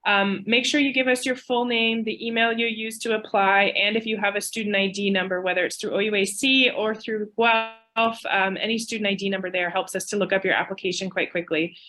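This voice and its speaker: A woman's voice: mid-range voice, steady tone, fairly quick, few pauses, pushed and bright, low-quality recording. A woman admissions officer losing her voice, giving webinar advice in a chatty, informal way. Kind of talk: webinar presentation. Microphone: video call.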